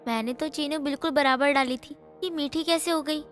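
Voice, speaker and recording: A woman plays a dutiful young daughter-in-law in a morality tale. Her high voice is meek, polite and eager to please. A soft music bed plays underneath. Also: steady tone, fairly quick. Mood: meek, flustered. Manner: polite, deferential.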